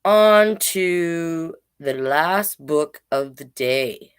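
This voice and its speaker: A woman hosting a children's storytime online, reading deliberately and adding casual, chatty asides. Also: low-pitched, expressive, smooth. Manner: slow, deliberate read-aloud delivery with chatty asides. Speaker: drag king storytime host.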